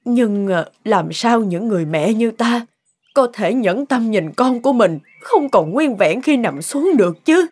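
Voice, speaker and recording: A woman's voice: fairly high pitch, animated, unhurried, darkish tone, slightly rough. A woman voicing a grieving, protective middle-aged mother in a sentimental cartoon, with an emotional, pleading delivery. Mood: hesitant, troubled. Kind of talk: dramatic cartoon dubbing in a sentimental tale. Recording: music behind the voice.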